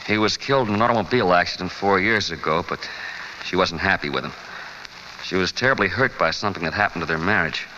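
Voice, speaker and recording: A man's voice: sits low, fast talker, bright, forceful tone. An actor playing an earnest, grieving American man in a 1940s radio drama. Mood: subdued, sorrowful. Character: grieving, earnest brother of a dead woman. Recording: dull, faintly noisy old radio recording.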